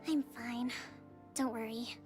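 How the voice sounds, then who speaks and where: A calm young priestess and strategist from a video game, with a high, gentle voice. She speaks in a measured, careful way, and faint game music plays behind her. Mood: grave. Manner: measured, soft-spoken, careful. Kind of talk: game voice acting.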